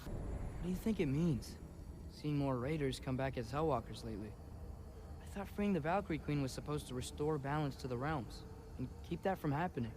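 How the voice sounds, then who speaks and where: A young actor playing an earnest, curious teenage adventurer in a video game. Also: male voice, medium pitch, expressive, normal speed, noisy background, close to an everyday voice. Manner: casual, inquisitive.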